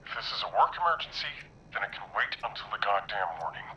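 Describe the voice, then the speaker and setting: An actor playing a blunt, gruff former war medic over the telephone in a horror game. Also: male voice, on the low side, big pitch swings, quick pace, sharp tone, a little hiss or hum, low-quality recording. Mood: grumpy, annoyed. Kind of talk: dramatic horror game voice acting.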